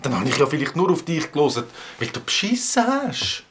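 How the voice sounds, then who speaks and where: An actor voicing an excitable, bossy boy adventurer with a Swiss German accent in a children's audio drama, talking in exclamatory, halting bursts. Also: on the high side, husky, full character voice. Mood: doubtful.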